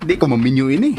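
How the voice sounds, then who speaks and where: A lively, playful young Filipino man in a Cebuano radio drama. His voice sits fairly high and swings expressively, with a bashful, teasing, affectionate manner. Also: noisy background. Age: 20s. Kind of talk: Philippine radio drama acting.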